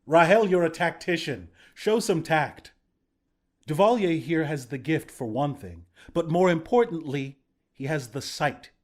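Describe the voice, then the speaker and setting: A man's voice: fairly high pitch, animated, a little slow. A man playing a battle-hardened resistance commander in a video game, plain-spoken, protective and reassuring. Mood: firm, commanding. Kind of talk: video game character voice lines.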